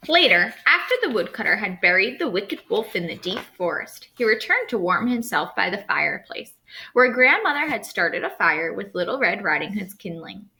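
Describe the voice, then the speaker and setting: A woman storyteller reads a children's tale aloud. Her voice is bright and very lively in pitch, and she leans on key words for dramatic effect. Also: moderate pace, a little hiss or hum, low-quality recording. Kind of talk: expressive children's storybook read-aloud narration.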